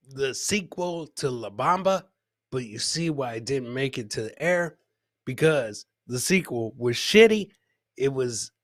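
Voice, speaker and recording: A bright-voiced American man hosts a live clip show with casual, exclamatory commentary. His voice sits fairly high and is recorded very dry and close to the mic. He likes to repeat his phrases for emphasis. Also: no room sound. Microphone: close boom studio mic with headset.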